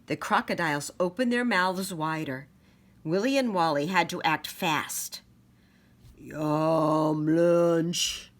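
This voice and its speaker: A woman reading a children's picture book aloud in a dramatic, deliberate storytelling manner. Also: lowish voice, animated, fairly bright tone.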